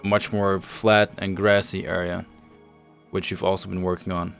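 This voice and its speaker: A man hosting a developer livestream, explaining things casually in a measured, halting way. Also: low-pitched, flat delivery, creaky, a bit bright. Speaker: host and project lead on a developer livestream. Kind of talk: casual show-and-tell commentary over game screenshots. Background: faint music.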